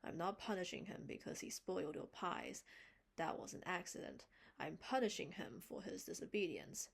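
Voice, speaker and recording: Woman doing a strict older storybook woman, firm, scolding, gravelly, husky. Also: fairly low pitch, normal speed.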